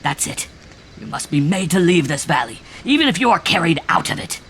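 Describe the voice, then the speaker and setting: A man voicing a heavy middle-aged farmer in a cult, a fanatic who shouts and rants in a strained, raucous voice. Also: medium pitch, moderate pace, gravelly, slight background noise, close to an everyday voice. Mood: raging. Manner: shouting fanatic, later sly and cold. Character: raving heavy male farmer cult follower.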